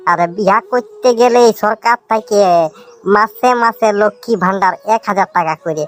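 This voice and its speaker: A high-voiced grumbling village cheapskate. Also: male voice, normal speed, fairly bright tone. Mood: boastful. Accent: rural Purulia, West Bengal. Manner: grumbling, emphatic comic exaggeration. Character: penniless village bachelor, grumbling, boastful cartoon buddy. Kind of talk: comedy cartoon dialogue. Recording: music behind the voice.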